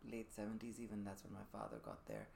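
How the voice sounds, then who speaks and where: A man in his thirties from Dubai, a podcast guest telling his personal story reflectively in thoughtful, measured phrases. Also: lowish voice, very animated, gravelly, a little mellow. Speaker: podcast guest, performing artist. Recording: faint room noise behind the voice.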